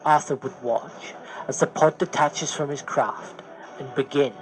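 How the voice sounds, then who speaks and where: Higher-voiced man narrating a dramatic story. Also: steady tone, a little slow, rough recording. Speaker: story reader. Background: music.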